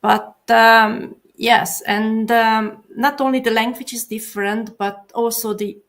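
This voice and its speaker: A woman speaking English as an interview guest. She talks haltingly, with many filler pauses. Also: on the high side, flat delivery, fairly bright tone. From Hungary. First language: Hungarian. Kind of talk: casual interview answers.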